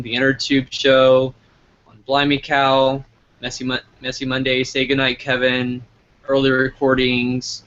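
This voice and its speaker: A man's voice: mid-range voice, a bit bright, dull audio. A man hosting a casual live interview, talking informally as he puts questions and addresses the audience. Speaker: interview host.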